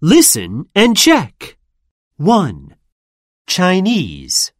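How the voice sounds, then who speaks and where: A woman reading a scripted textbook listening drill, saying words slowly and deliberately for learners to repeat. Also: fairly low pitch, wildly swooping pitch, no room sound, studio quality. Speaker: lesson narrator.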